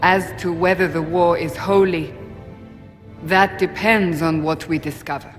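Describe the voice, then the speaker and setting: A woman's voice: on the low side, fairly even intonation, unhurried, close to an everyday voice. Actress as a stern warrior woman in a reverberant hall. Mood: grave, urgent. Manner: grave, firm, urgent. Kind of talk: fantasy video game acting. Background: game music.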